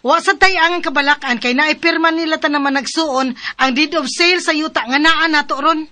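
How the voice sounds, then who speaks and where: An actress with a Cebuano Filipino accent playing a feisty, indignant wife in a radio drama. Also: female voice, high voice, normal speed, sharp tone, no room sound. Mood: indignant, shocked. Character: feisty, indignant wife refusing to give up land.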